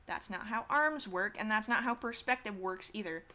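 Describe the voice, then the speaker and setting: A teenage girl rambles through a drawing session at her desk, swearing casually and poking fun at herself as she goes. Her voice is bright and clean, with a crisp, smooth tone through a desktop mic. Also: mid-range voice, normal speed. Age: teens. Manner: chatty, swears casually, self-deprecating asides. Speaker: artist streaming a drawing session.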